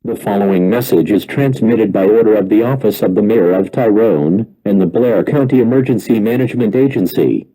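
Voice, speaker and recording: Dark-voiced man reading an emergency alert. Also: on the low side, low-quality recording. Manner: formal, measured, impersonal. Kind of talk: scripted emergency alert announcement.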